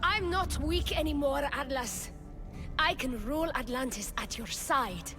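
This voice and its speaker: A woman's voice: sits high, lively intonation, measured pace, music in the background. A woman playing a passionate, ambitious young ruler in a video game, poised and earnest. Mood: fierce, shaken. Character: passionate, ambitious young female ruler of Atlantis, softly scheming. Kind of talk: video game cutscene acting.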